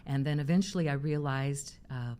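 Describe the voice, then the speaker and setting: A woman in her sixties from Missouri speaks in a low voice. She is a talk show guest who chats freely and tells stories about her own life. She laughs often as she talks. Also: normal speed, mellow, clear, resonant tone. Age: 60s. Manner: chatty storyteller, laughs often. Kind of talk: casual interview chat.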